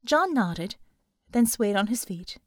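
A woman's voice: mid-range voice, very animated, slow pace, no room sound, studio quality. A woman narrating a scripted dramatic action story as an audiobook reader.

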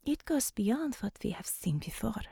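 Woman acting a warm, deliberate Russian lady doctor. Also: a little high, big pitch swings, gravelly, slightly rough, no room sound, clean studio sound, exaggerated voice. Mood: warm, grave. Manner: deliberate broken English.

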